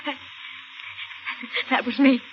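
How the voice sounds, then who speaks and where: An actress playing a shaky, frightened young woman in a 1940s radio drama, with halting, stammering delivery. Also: high-pitched, steady tone. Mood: shaken. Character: frightened, shaky young woman insisting she saw a corpse. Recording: muffled old radio broadcast with music.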